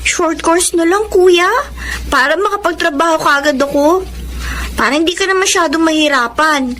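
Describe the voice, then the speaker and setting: A woman playing a cheerful, selfless teenage little sister in a Filipino radio drama, sweet and expressive, with a Filipino accent. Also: extremely high pitch, moderate pace, a little hiss or hum. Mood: sincere, tender.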